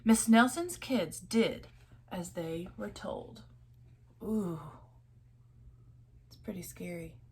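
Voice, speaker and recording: A woman's voice: lowish voice, takes it slowly, pauses often, slight background noise. A woman storyteller reading a children's picture book aloud expressively, adding chatty asides to the listeners.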